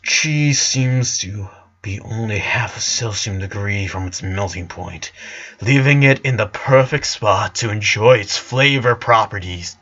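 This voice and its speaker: A man's voice: lowish voice, unhurried, sharp tone. A man voicing a pedantic child genius girl, clinical and precise, in a story reading. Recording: dull lo-fi sound.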